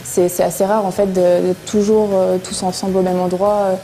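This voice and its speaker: A woman's voice: mid-range voice, flat delivery, brisk, poor audio. A young woman in her twenties, a newly hired engineer, speaking hesitantly with many fillers in a documentary interview in a site office. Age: 20s. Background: soft background music.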